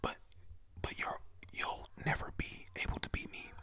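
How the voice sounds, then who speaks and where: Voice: moderate pace, bright, forceful tone, natural voice. A young adult whispering the threatening lines of a domineering giant pirate woman in a cartoon. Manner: threatening, bossy.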